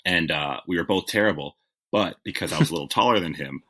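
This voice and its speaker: Man, very low voice, bright forceful tone, chatty storytelling, lots of "like" and "you know". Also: quick pace. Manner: reflective, self-correcting, with frequent "like" and "you know". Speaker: guest, TV writer. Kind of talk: conversational interview storytelling.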